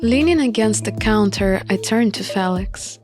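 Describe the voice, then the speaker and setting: A woman's voice: mid-range voice, expressive, a little slow. A woman reading first-person story narration for a visual novel playthrough. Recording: music under the voice.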